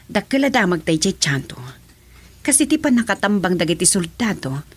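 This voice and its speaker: An actress playing a serious woman gravely reporting news in a radio serial drama. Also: mid-range voice, sing-song, normal speed.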